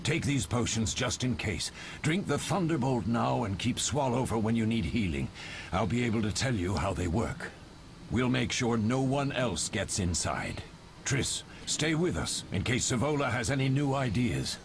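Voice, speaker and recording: A gravelly male warrior mentor from a fantasy game, with lively swings in pitch. He gives practical orders with command, over clear background noise. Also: moderate pace. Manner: instructive, practical. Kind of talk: video game dialogue.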